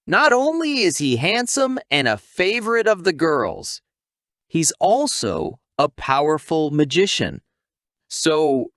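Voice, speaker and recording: A man's voice: a little high, a bit bright, dry recording, clean studio sound. A man narrating a scripted story recap as a channel host, with playful asides and questions to the viewer.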